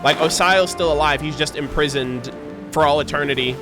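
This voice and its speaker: A man's voice: on the high side, a little fast, music in the background. A man giving casual game commentary as a streamer, talking in an enthusiastic, slangy, rambling way. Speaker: gaming streamer.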